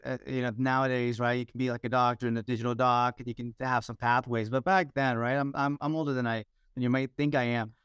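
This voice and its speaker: A man's voice: mid-range voice, fairly bright tone, clear, resonant tone, no room sound. A middle-aged American man telling his story as an interview guest, earnest, with frequent restarts and "you know" fillers. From United States. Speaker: guest, hospital digital executive.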